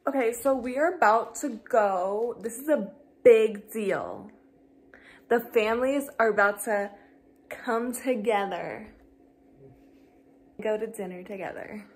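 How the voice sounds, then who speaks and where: A woman's voice: fairly high pitch, slow pace, long pauses, breathy edge. A young woman hosting a casual vlog, chatty and upbeat with lots of fillers. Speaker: vlog host. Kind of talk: casual chat. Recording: loud background noise.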